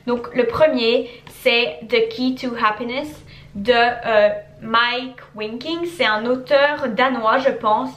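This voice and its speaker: A woman's voice: on the high side, fairly even intonation, slow, a bit bright. Chatty young woman in an echoey room. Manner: chatty, repeats words for emphasis. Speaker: YouTuber answering viewer questions. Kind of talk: casual chat to camera.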